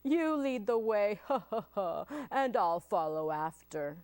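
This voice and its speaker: Woman doing a big, slow-talking storybook monster. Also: mid-range voice, very animated, no room sound. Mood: scornfully amused. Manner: drawn-out, emphatic words.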